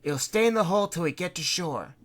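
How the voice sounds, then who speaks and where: Woman doing a calm ship's guide. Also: lowish voice, brisk, voice pushed up. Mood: reassuring.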